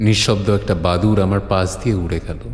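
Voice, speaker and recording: A man narrating a horror audio story as a brooding storyteller, deliberate and suspenseful with dramatic pauses. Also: sits low, steady tone. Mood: hushed narration. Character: brooding male storyteller recounting eerie legends to his friend.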